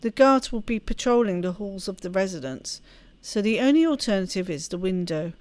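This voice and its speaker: A woman reading a story aloud as expressive narration for a game playthrough. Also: mid-range voice, fairly quick, no echo. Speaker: let's play host reading game text aloud.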